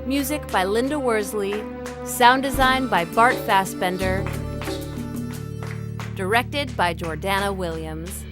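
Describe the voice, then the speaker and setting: A woman's voice: mid-range voice, takes it slowly, long pauses, over loud music. A woman announcer reading scripted credits for an audio drama.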